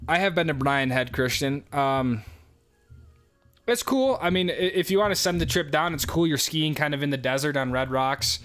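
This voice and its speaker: A man's voice: fairly high pitch, moderate pace, faint music behind. Laid-back New York-accented man. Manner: relaxed, rambling, lots of fillers. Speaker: livestream host answering chat questions. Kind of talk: casual live chat.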